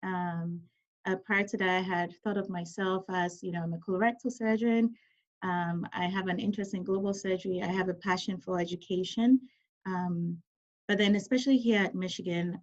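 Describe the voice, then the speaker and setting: A woman, a surgeon giving a conversational lecture over a video call with many ums and apologetic asides. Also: on the low side. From Ghana. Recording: dull lo-fi video call audio.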